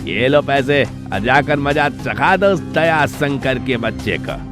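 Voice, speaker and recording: A man puts on a scheming, spiteful rich merchant for a cartoon. He sneers and blusters with highly animated pitch, pushed up from a low register. Music sits behind the voice. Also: takes it slowly.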